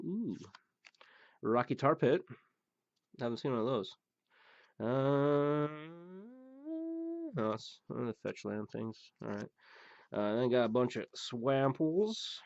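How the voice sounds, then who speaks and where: A man hosting a pack-opening show, rambling through the cards with many fillers and blunt remarks, his voice going hoarse. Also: medium pitch, animated, slow, long pauses, no room sound. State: losing his voice. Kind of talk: casual card-opening commentary. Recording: dull, dry recording.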